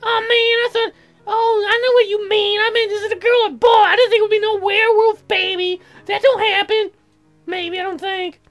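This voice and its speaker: A man voicing a crude, silly, excitable, childlike woman in a comic visual novel, rambling in a dim-witted way. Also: very high voice, normal speed, faint music behind, voice pushed up. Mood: goofy, excitable.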